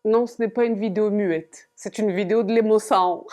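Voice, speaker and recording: A woman's voice: mid-range voice, fairly even intonation, normal speed, dark tone. A woman vlogging playfully to camera about coats she bought, theatrical and giggly as she talks straight to her viewers. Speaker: fashion vlogger.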